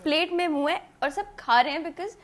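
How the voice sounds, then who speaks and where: Pakistani woman, high voice, actress swapping casual anecdotes in a group interview. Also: fairly quick.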